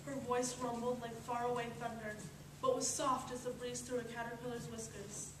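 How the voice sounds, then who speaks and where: A woman's voice: fairly high pitch, level tone, normal speed. Woman reading storybook narration, roomy, noisy. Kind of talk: scripted storybook narration, readers theatre. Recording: roomy, far mic, noisy background.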